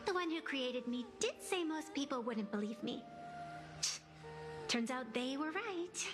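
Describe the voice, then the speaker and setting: A woman's voice: sits high, lively intonation, a little slow, over music. A woman voicing an earnest, youthful, strange created being in a video game, articulate and pleading. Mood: resigned.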